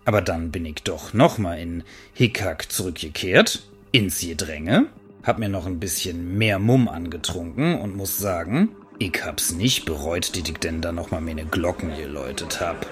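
A brash Berlin housewife played by a man with a very low voice. She talks straight to the listener in slangy dialect, cheekily sure of herself, slipping in pompous official words as in a comic radio play. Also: moderate pace, natural voice. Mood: self-assured. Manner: chatty, slangy dialect with mock-formal turns. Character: brash working-class Berlin housewife Edith Schröder, cheekily self-confident.

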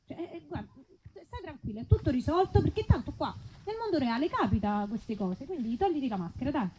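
A woman answers casually in a live comic show. Her voice is high and animated with a mellow tone, yet she is matter-of-fact and dismissive. There is faint noise behind her. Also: a little fast.

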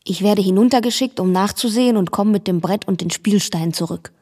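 An actress plays a bookish young girl telling her own story in a radio drama. Her mid-pitched voice is measured and matter-of-fact, earnest and quietly judgmental as she observes. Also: close to an everyday voice. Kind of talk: first-person radio play narration.

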